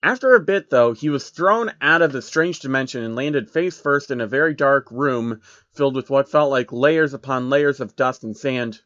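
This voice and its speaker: A man's voice: mid-range voice, normal speed, no echo, highs cut off. A man reading fan fiction as narrator, theatrical, with amused asides. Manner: animated, theatrical.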